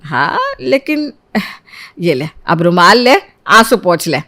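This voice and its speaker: A woman's voice: on the low side, sing-song, slow, sharp tone, heavily acted. Woman voicing a kindly, doting mother-in-law. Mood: sympathetic, consoling. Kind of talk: animated story dialogue in Hindi.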